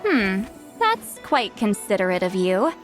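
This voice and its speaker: A woman voicing a haughty, easily flustered shaman in a video game, with a huffy, excitable manner. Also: medium pitch, measured pace, over music. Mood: flustered, aloof. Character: centuries-old but youthful-sounding shaman woman, haughty, easily flustered.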